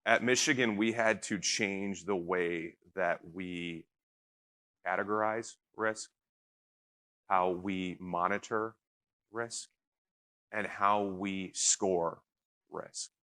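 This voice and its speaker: A man on a conference panel answering a question in an earnest way, talking in quick bursts and checking in with "right?". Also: sits low, steady tone, sharp tone. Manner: earnest, fast bursts, repeats points with "right?". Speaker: panelist from a risk and audit team. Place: conference stage.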